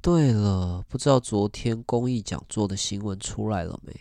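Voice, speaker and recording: An actor playing a pompous, callous restaurant tycoon in an audio drama monologue. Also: male voice, on the low side, normal speed, vocal fry, warm tone, no room sound, clean studio sound. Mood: nonchalant, detached. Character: smug, callous gourmet restaurant tycoon, indifferent man. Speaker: actor and first-person narrator.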